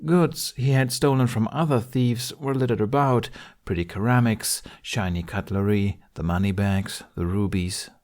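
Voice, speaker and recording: A man's voice: fairly low pitch, normal speed, a little mellow. A man reading scripted story narration as an audiobook narrator.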